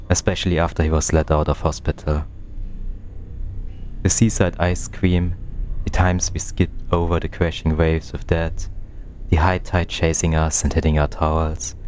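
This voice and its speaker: A man voicing an introspective, melancholy twin in a visual novel, narrating in a measured, confessional manner. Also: extremely low pitch, a little hiss or hum. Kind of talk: scripted narration. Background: loud game music.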